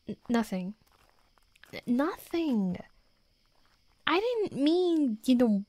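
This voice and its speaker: Casting: actress as young tsundere werewolf woman, tough but flustered, stammering, slower delivery, mid pitch, close dry sound. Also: a little mellow, dry recording, close to an everyday voice. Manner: flustered, stammering, gruffly defensive. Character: tough, defensive young female werewolf, secretly smitten tsundere. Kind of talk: intimate roleplay audio drama.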